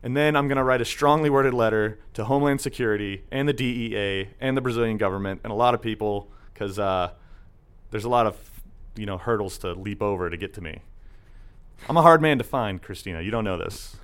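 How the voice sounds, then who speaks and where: A man's voice: on the low side, brisk, fairly bright tone, smooth. A young man hosting a casual podcast chat, joking and often slipping into silly put-on voices. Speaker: podcast host.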